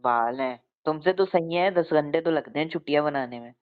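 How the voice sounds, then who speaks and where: A man's voice: fairly high pitch, brisk, close to an everyday voice. A man playing a caring, insistent young husband who banters affectionately in a casual domestic audio roleplay, with an informal, coaxing way of talking. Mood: bantering. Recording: tinny narrow phone-like band.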